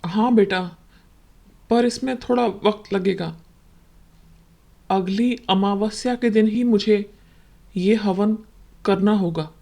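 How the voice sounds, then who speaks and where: A woman voicing a solemn male holy man with a grave, deliberate, fatherly manner in a horror cartoon story. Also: mid-range voice, creaky, dark tone.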